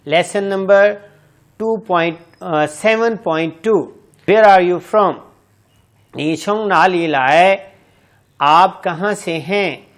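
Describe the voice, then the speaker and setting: Male language teacher with a smooth, higher voice. Slow, repeat-after-me drill reading. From Pakistan. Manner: reads each phrase in English, then Chinese, then Urdu.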